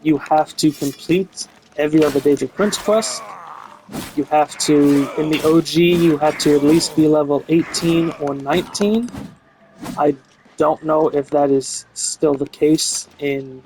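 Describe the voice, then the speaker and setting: A man's voice: on the high side, a little slow, a little mellow, a little hiss or hum, poor audio. A man giving a casual, unscripted video game review in a frank, opinionated way. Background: music behind the voice.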